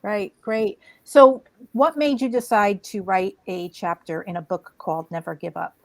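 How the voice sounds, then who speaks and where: A woman's voice: medium pitch, fairly even intonation, unhurried, no echo. A woman hosting interviews with authors, asking upbeat follow-up questions. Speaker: interview host.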